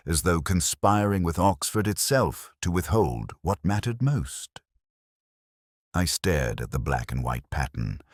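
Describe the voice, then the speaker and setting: Male narrator for literary mystery audio: extremely deep, lively intonation, measured and grave delivery. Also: no room sound, studio quality. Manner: solemn, literary, measured phrasing. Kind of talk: scripted first-person detective story narration.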